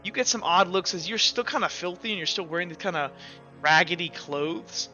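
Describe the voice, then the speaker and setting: Bright, higher-pitched man running a tabletop game. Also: brisk. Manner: casual, hesitant, loosely improvised delivery with frequent "like" and "kinda". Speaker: game master. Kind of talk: improvised narration of a tabletop roleplaying game. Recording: dull lo-fi audio with music behind. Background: background music.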